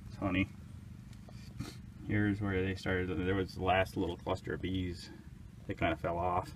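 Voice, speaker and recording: A man's voice: low-pitched, slight background noise. A man casually narrating as he inspects his beehives outdoors, hesitant and unsure, thinking aloud. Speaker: beekeeper.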